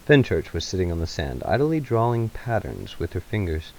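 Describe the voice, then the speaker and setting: A man's voice: low-pitched, sing-song, moderate pace, gravelly, darkish tone, slight background noise. A man reading a story aloud as narrator, in a dramatic literary style. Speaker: narrator, reader.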